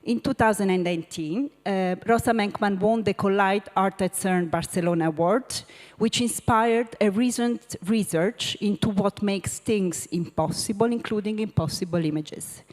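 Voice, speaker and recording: A woman's voice: medium pitch, a little mellow. Woman reading an introduction in a hall. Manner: measured, read-aloud delivery. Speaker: panel moderator. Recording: reverberant lecture hall.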